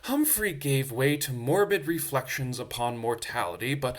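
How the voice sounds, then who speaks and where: A man reading a children's bedtime story aloud in an expressive, dramatic storyteller's manner. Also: mid-range voice, normal speed.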